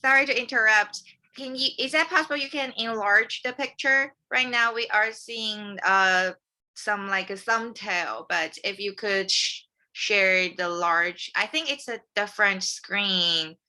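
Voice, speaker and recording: A woman's voice: mid-range voice, unhurried. A woman hosting an online talk, introducing the guest and politely asking about the screen share. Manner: polite, gently interrupting. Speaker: host.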